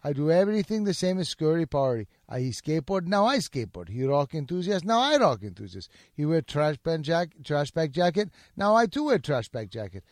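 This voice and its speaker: A man's voice: fairly high pitch, very animated, fairly quick, hardly any pauses, no room sound, studio quality. A man reading as a broken-English superfan. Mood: earnest. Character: adult male superfan writing in broken English.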